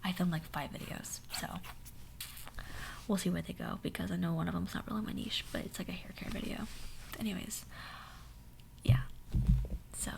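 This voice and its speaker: A young woman with a hoarse voice telling a sassy, dramatic story in a soft whisper. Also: on the low side, moderate pace, pauses often. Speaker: ASMR storyteller. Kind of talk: whispered ASMR story time.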